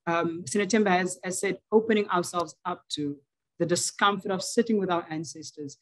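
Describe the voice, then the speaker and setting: A woman's voice: fairly low pitch, fairly quick, low-quality recording. A woman with a South African accent speaking earnestly in an academic panel discussion, often restarting and repeating words, heard over a video call. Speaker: seminar fellow.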